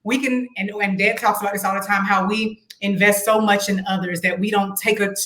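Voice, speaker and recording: A woman's voice: mid-range voice, fairly even intonation, brisk, a bit bright, roomy sound, slight background noise. A woman singer being interviewed, telling her story in an earnest, reflective way with faith-filled words. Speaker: singer and guest. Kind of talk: casual interview chat.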